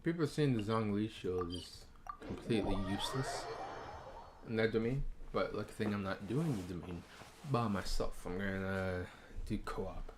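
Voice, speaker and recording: A man's voice: fairly low pitch, normal speed. A man streaming a video game, thinking aloud in casual, rambling commentary full of fillers and hesitations. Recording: noisy background ambience.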